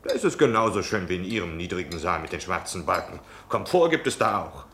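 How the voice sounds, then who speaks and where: A man's voice: low-pitched, very animated, a little fast, fairly bright tone, slight background noise, full character voice. An actor playing a talkative, nosy, self-important travelling salesman in a German radio play. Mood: indignant, mocking. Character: chatty, pushy, cocksure travelling salesman in knitwear.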